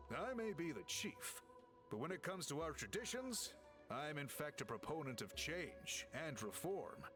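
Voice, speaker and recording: Give me a courteous, lively-voiced scholarly chief. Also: male voice, medium pitch. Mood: earnest, thoughtful. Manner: measured, polite, formal, deliberate. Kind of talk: video game voice acting, measured scripted dialogue.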